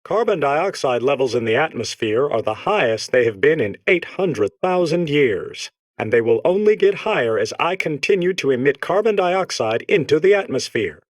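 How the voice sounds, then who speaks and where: A man's voice: a little high, very animated, normal speed, hardly any pauses, dry recording. A man voicing a smug, villainous robot in a comedy game, delivering bombastic mock public-service announcements. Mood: smug, proud. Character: smug villainous robot core proudly causing climate change. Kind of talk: comic game character monologue.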